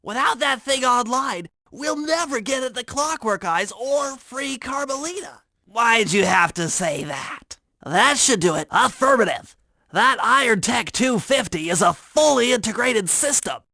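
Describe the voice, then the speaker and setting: A man's voice: very high voice, lively intonation, unhurried, vocal fry, sharp tone, no room sound, clean studio sound, full character voice. A man voicing a nerdy, anxious young male turtle inventor and hacker in a video game, speaking in precise, wordy technical phrases. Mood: determined.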